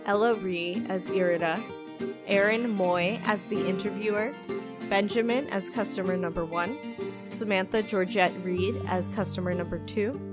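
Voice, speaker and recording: Lady doing scripted podcast outro credits, medium voice, guitar playing behind her. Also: medium pitch. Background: plucked guitar music.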